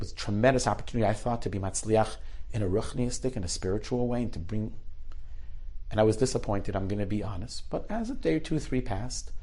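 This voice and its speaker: Animated guy telling spiritual stories, middle-aged, acts out the quotes, lots of restarts. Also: lowish voice. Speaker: rabbi telling inspirational stories. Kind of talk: spoken inspirational storytelling.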